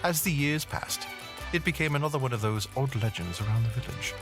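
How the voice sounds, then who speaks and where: A man's voice: mid-range voice, lively intonation, fairly quick, over music, lightly acted. A man reading scripted storybook narration as the narrator of an audio drama.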